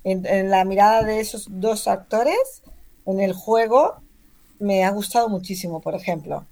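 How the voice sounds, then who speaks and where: A woman with an accent from Spain, speaking as an interviewed novelist in a talkative, expressive, conversational way. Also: medium pitch, measured pace. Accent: Spanish, from Spain.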